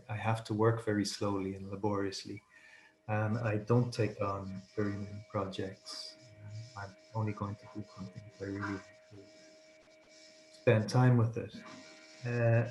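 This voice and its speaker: A man, a translator and writer, answering interview questions in a thoughtful, halting way, often repeating words as he searches for them. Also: on the low side, a little mellow. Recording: echoey room.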